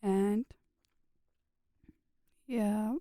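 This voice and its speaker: A woman's voice: mid-range voice, steady tone, very slow, mellow, no room sound, studio quality. A young woman in her twenties from Pakistan with a Pakistani accent, whose first language is a regional tongue, answering interview questions earnestly in short plain sentences and laughing often. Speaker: graduate student guest. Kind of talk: casual interview chat.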